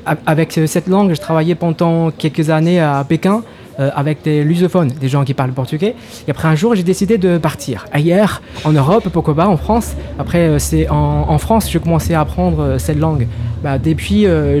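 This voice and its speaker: Mellow man, hesitant. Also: on the high side. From China. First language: Mandarin Chinese. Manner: hesitant, many fillers. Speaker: language teacher guest. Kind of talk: interview answers.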